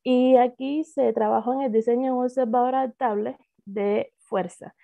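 A woman with a Cuban accent walks through her doctoral research on a video call, pausing often with fillers and restarting phrases. Her voice sits fairly high with a gravelly fry at the ends of phrases. The sound is dry and narrow, like a phone line. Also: steady tone, no echo. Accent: Cuban Spanish. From Cuba. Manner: hesitant, many fillers and restarts. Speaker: doctoral student presenting thesis. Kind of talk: academic slide presentation.

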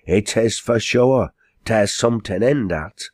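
A man voicing a timid, meek old pedlar in a storybook, hushed and whispery. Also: on the low side, a little slow, dry recording, clean studio sound, pitched above the performer's own voice, natural voice. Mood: meek agreement. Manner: timid, agreeing meekly.

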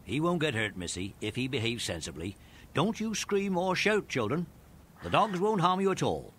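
Police dog handler, brisk and reassuring, highish male voice, faint rumble behind. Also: very animated, fairly bright tone.

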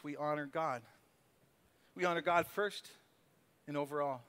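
A man's voice: a little high, a bit bright. A middle-aged man, a pastor praying and preaching on a church stage, earnest and repeating his words as he presses a point. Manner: earnest, repeats words, rises to fervent emphasis. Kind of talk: sermon and prayer, then stage conversation. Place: church stage, large room. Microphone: handheld mic.

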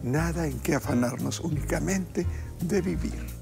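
A middle-aged man with a Mexican accent hosting a TV cooking show, upbeat and talking warmly to viewers as family. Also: on the high side, unhurried, vocal fry, music in the background. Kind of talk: lively TV hosting and motivational talk. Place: TV kitchen studio set.

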